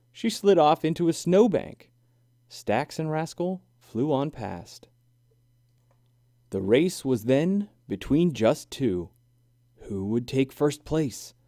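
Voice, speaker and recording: A man reading a children's story aloud in an expressive, deliberate, sing-song way. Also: mid-range voice, no echo, studio quality. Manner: animated, deliberate, sing-song rhyming delivery. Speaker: children's book reader.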